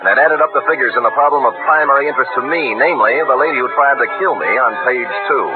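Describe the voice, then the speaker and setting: An actor playing a wisecracking private detective who narrates with sardonic wit, in 1940s radio drama style. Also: male voice, medium pitch, quick pace, music in the background, natural voice. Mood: wry. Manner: wry, sardonic. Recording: tinny old radio broadcast with loud hiss.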